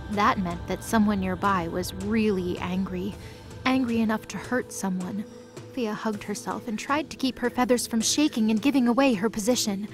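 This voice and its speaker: A woman narrates a mythology audio drama in a scripted storytelling style. Her voice sits a little high, and music plays clearly underneath.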